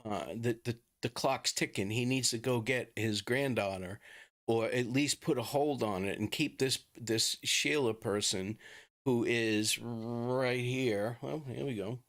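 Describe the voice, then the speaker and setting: A middle-aged man with a Boston accent talks casually and haltingly to viewers as a livestream host. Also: mid-range voice, fairly bright tone. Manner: informal, chatty, halting.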